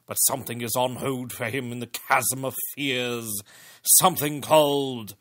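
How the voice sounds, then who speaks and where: An actor playing an ominous narrator who introduces a radio horror tale in a portentous, theatrical way. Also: male voice, medium pitch, fairly even intonation, measured pace, a bit bright, lightly acted. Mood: ominous, grave.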